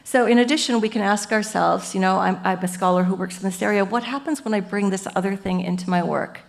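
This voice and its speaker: A woman professor giving an academic lecture at a symposium, lively and earnest, explaining ideas through everyday metaphors. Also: mid-range voice, quick pace, gravelly. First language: English. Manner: lively and earnest, with frequent "you know" and "um".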